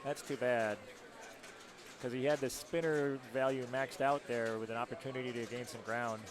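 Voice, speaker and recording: A man from Rochester giving live pinball tournament commentary, calmly explaining the rules and scoring of each shot. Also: mid-range voice, normal speed. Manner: explanatory, rule-focused play-by-play. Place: tournament hall. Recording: noisy crowd in the background.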